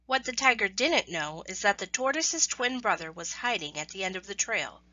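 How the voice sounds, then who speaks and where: Bright-voiced woman reading a children's story. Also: medium pitch, lively intonation, normal speed, dry recording, lo-fi sound. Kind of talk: scripted children's story narration.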